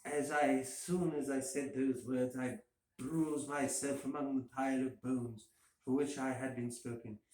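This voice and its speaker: A man's voice: mid-range voice, fairly even intonation, measured pace, darkish tone. Man reading gothic horror in echoey room. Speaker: amateur story reader. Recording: roomy echo, faint hiss.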